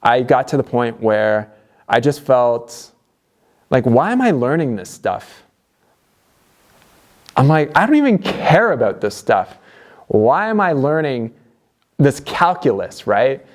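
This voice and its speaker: A man's voice: mid-range voice, big pitch swings, slow, long pauses. A young Canadian man with a Canadian accent, talking earnestly to camera in a conversational motivational vlog, with plenty of fillers and restarts. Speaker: DIY vlogger.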